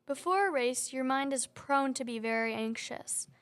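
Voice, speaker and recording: Young woman reading a script, higher voice. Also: fairly bright tone. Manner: reads from a script, brisk. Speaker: student reporter and narrator. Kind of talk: scripted narration.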